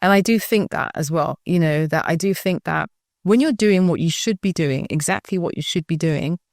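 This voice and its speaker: A woman's voice: on the low side, normal speed, no echo, studio quality. A woman from the UK with a British accent, answering interview questions in a reflective, conversational way and often checking that she is understood. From United Kingdom. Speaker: school founder, guest.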